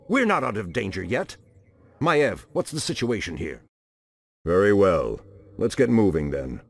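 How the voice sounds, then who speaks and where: A man voicing an ancient, solemn and commanding druid leader in a fantasy video game, with a grave, formal, theatrical delivery and a gravelly, husky voice. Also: deep voice, dry recording, full character voice. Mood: furious.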